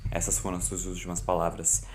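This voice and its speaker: A man's voice: low voice, monotone, fast. A man with a Brazilian accent narrating a horror audiobook in a solemn, theatrical way. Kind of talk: dramatic scripted horror narration. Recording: loud music under the voice. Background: loud music.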